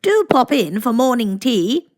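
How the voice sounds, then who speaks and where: Woman playing a high, gracious, effusive storybook Queen. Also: big pitch swings, a little slow, a little mellow. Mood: gracious, delighted. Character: gracious, effusive Queen, regal older lady. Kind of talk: storybook character voice.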